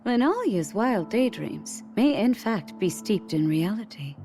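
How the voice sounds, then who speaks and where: Wise gentle queen in a game cutscene, mellow mid voice, calm and poised, faint music. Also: medium pitch, unhurried. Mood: thoughtful. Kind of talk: video game cutscene acting.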